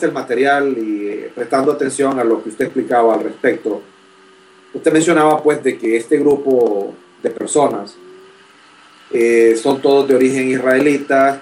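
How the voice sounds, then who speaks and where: A man's voice: mid-range voice, darkish tone, distant mic, a little hiss or hum, low-quality recording. Hesitant, polite young man. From Honduras. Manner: polite, formal, hesitant with many fillers. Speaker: graduate student, first-time participant. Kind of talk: asking a question in an online chat.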